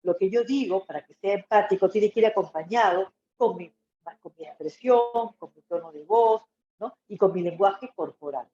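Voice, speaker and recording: A Peruvian woman teaches in an informal lecture style, with a soft-edged, mellow voice. She explains didactically and keeps checking that listeners follow with brief tag questions. The sound is dull and muffled, with plenty of room echo around her. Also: mid-range voice, moderate pace. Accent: Peruvian Spanish. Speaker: psychology lecturer.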